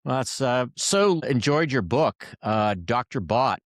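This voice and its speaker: A man's voice: medium pitch, lively intonation, a little slow, dry recording, clean studio sound. A man who hosts a podcast and works as a doctor, talking to his guest in a friendly, conversational way with frequent fillers. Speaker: podcast host and physician. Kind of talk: podcast interview.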